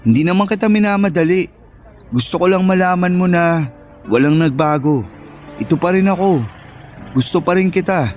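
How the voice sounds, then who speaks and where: An actor plays a devoted man wooing his old love in a radio-style romance drama. His voice is on the high side for a man, with teasing, smooth courting lines. Music plays behind him. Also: moderate pace, pauses often, close to an everyday voice. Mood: earnest courting. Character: persistent, sweet-talking male suitor, playful and devoted to his ex. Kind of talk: audio romance drama dialogue.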